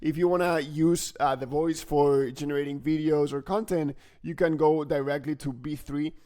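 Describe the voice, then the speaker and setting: A man with a slightly high-pitched voice walks through software as a tutorial presenter. His delivery is casual and explanatory, as if thinking aloud while he demonstrates. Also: steady tone, moderate pace.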